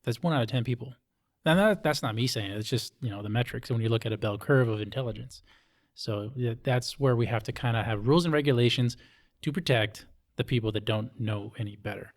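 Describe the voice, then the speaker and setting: An American man with a mid-pitched voice. He talks casually as a guest in conversation, putting his points bluntly and colloquially. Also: fairly quick. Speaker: interview guest.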